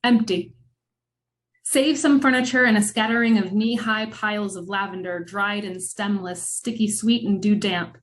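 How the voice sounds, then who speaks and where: A woman narrating a scripted film-noir radio drama in measured, dramatic storytelling with wry asides. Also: medium pitch.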